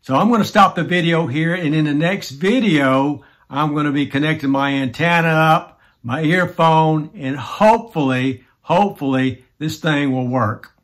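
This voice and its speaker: A man's voice: a little high, normal speed, few pauses, a bit bright. A man in his sixties hosting a casual DIY vlog, explaining things to the camera. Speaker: DIY video host. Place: small indoor home workshop room.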